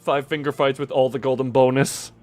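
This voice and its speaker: Fast-talking man streaming a game. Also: medium pitch, level tone, faint music behind. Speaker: live streamer. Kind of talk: gaming stream, reading game dialogue aloud with asides.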